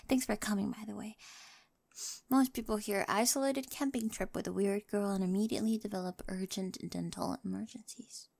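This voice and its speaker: A woman's voice: medium pitch, steady tone, normal speed, creaky, a little mellow. A woman playing an awkward, eager, nerdy teenage girl with a crush in an ASMR roleplay, chatty and rambling, often dropping toward a whisper. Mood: shyly grateful.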